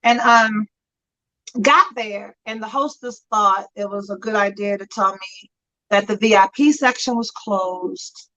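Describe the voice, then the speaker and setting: A woman's voice: mid-range voice, unhurried, bright, forceful tone, poor audio. A woman in her fifties co-hosting a casual chat show, telling stories with frequent laughter, calling in from a friend's house. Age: 50s. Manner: chatty storyteller, laughs often. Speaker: talk show co-host.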